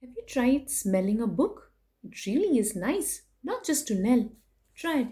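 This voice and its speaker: A woman doing an expressive children's picture book read-aloud, playful in her emphasis, with chatty asides to her young listeners. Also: on the high side, unhurried, husky. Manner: animated, sing-song rhyme reading with playful emphasis. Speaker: children's story reader and host.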